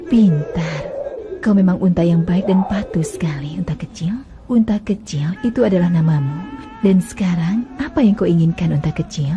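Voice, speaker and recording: A woman voicing a calm, devout mother she-camel in a dubbed cartoon, speaking softly and tenderly, with music under her lines. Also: on the low side, moderate pace, highs cut off, lightly acted. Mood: coaxing. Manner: soft, tender, soothing. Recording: music bed under dialogue.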